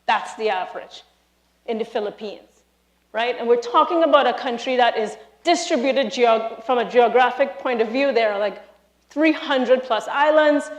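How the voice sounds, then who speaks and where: A woman with a Trinidadian accent speaks from a stage, lively and emphatic, talking straight to her audience. Her voice sits a little high. The hall around her gives a roomy echo. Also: normal speed. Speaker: speaker, university lecturer. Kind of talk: live conference talk. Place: large hall, live audience.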